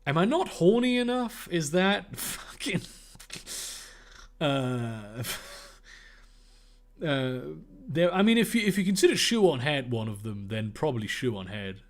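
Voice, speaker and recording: A man's voice: fairly high pitch, animated, unhurried. German man rambling through a stream Q&A. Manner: rambling, profane, reads questions aloud then answers. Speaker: streamer answering viewer questions. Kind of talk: casual livestream Q&A.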